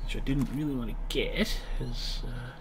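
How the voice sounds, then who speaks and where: A man's voice: medium pitch, steady tone, moderate pace, background noise. A man in his late thirties with a stuffy nose from a mild cold, thinking aloud as he talks viewers through a game. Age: late 30s. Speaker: let's play host. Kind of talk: casual gameplay commentary.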